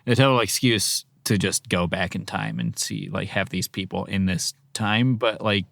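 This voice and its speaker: A man co-hosting a podcast, chatting casually in a wry, halting way. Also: fairly low pitch, vocal fry, a bit bright, dry recording. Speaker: podcast co-host. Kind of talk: casual chat.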